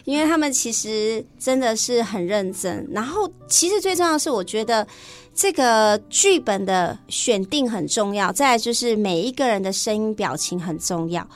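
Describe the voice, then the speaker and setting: A woman's voice: fairly high pitch, animated, moderate pace, faint music behind. A woman teacher with a Taiwanese Mandarin accent, explaining things conversationally as an interview guest.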